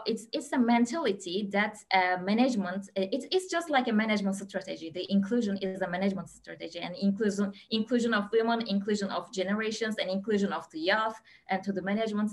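A woman's voice: medium pitch, brisk, few pauses. A woman in her thirties from Turkey with a Turkish accent, speaking on an online panel over a video call, hesitant, with many fillers and restarts. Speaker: panelist, port captain. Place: home room with lamp.

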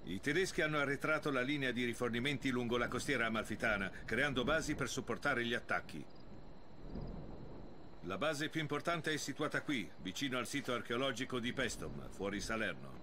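An actor playing a commanding, matter-of-fact officer giving a mission briefing in a war game. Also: male voice, medium pitch, bright, forceful tone, slight background noise.

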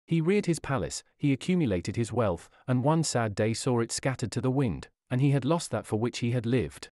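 Man reading a sermon with lively pitch. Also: normal speed, no room sound, studio quality. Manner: solemn, old-fashioned preaching cadence. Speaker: reader of a historical sermon.

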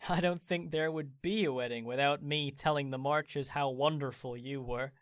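An actor playing a cheerful, devoted young gentleman in an audio drama, playful in manner. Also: fairly high pitch, steady tone, normal speed, dry recording, studio quality, natural voice.

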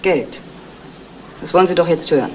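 Defensive young fiancée played by an actress. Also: female voice, lowish voice, a little mellow, close to an everyday voice. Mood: defiant. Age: young adult. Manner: brisk, defensive, politely evasive. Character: young fiancée, evasive, defiant, money-minded woman. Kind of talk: 1970s German radio crime drama acting. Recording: noisy old radio play recording.

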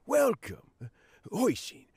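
An actor voicing a courteous, wise elderly male official in a game, speaking in a measured way. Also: very high-pitched, huge pitch swings, a little mellow, husky, dry recording, heavily acted. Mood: gentle. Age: older.

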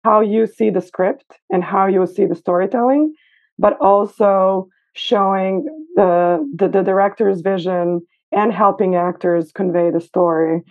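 A woman's voice: medium pitch, steady tone, measured pace. Woman over a tinny phone-like line. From Serbia. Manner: thoughtful, hesitant with fillers. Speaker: guest costume designer. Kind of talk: casual interview. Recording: phone-like remote call.

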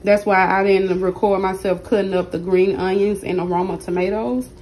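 A woman with a hoarse, partly lost voice, chatting casually to her viewers as she cooks in her home kitchen, recording on a handheld phone. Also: medium pitch, steady tone, normal speed, darkish tone, a little hiss or hum. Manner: chatty, keeps addressing viewers directly. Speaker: cooking channel host. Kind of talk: casual cooking vlog narration. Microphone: phone held in hand while cooking.

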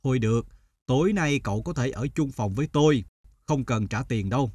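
An actor playing a generous but suspicious middle-aged male traveler in an animated folk tale. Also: mid-range voice, fairly quick, a little hiss or hum, close to an everyday voice. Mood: friendly.

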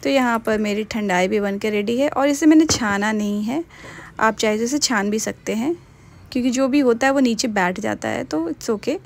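A woman's voice: fairly high pitch, normal speed. A young woman casually narrating her home cooking in a voice-over, chatty and mixing in English words. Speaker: cooking vlogger.

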